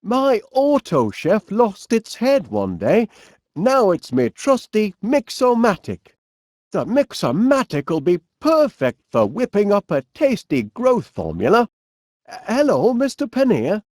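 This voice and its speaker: A cheerful, middle-aged Northern English inventor, bumbling and polite. His delivery is slow and very swoopy, with quaint exclamations and flustered hesitations. It is a comedy game character, recorded close and dry. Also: high-pitched, huge pitch swings, a little mellow, no room sound, heavily acted. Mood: cheerful, eager. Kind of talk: animated comedy game voice acting.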